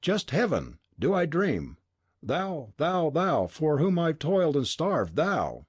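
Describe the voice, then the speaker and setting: A man voicing a frantic, pleading old male miser in a high, strained voice in an audiobook reading. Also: huge pitch swings, slow pace, slightly rough, dry recording, full character voice. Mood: anguished. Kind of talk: audiobook dialogue.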